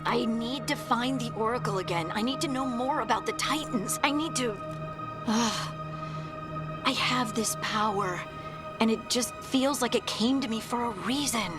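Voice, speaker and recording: A woman's voice: on the high side, normal speed, natural voice. An actress playing an earnest, searching young dwarf woman in a video game, speaking in a wistful, conversational way. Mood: searching, troubled. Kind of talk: video game dialogue acting. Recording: game music behind the voice. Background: game soundtrack music.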